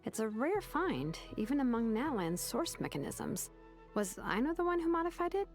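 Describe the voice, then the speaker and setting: A woman's voice: fairly high pitch, big pitch swings, moderate pace. A woman voicing a modest, curious researcher of machines in a video game, casual and inquisitive. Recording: music behind the voice.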